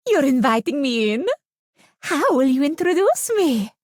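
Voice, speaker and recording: A woman's voice: high voice, sing-song, unhurried, no echo, studio quality. A woman playing a theatrical, playful young villainess in a video game, with a dramatic, gasping delivery. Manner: dramatic, breathy gasps, playful swings. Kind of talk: video game character voice acting.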